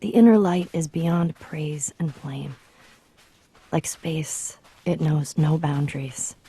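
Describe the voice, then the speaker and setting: Low, creaky-voiced woman reading reflectively. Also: fairly even intonation, mellow. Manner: measured, contemplative delivery. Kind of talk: scripted literary quotation reading.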